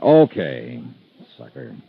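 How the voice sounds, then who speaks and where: Hard-boiled American male investigator, animated pitch, clipped and world-weary, muffled old-radio sound. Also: takes it slowly, vocal fry, slightly rough, heavily acted. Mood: reluctant. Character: hard-boiled, wry freelance insurance investigator, adult man. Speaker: lead actor and first-person narrator. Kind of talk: 1950s radio detective acting and narration. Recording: muffled vintage radio recording with music.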